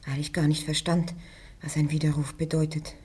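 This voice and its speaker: A woman's voice: low-pitched, level tone, normal speed, warm tone, breathy edge. Joan, a resolute condemned girl. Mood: defiant. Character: young peasant girl on trial, defiant and calm. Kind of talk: classic radio play acting.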